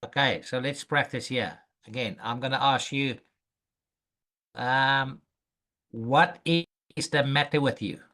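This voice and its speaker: A man's voice: medium pitch, sharp tone. Patient man teaching English phrases. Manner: slow, patient, repeats phrases for the student. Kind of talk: language lesson drills.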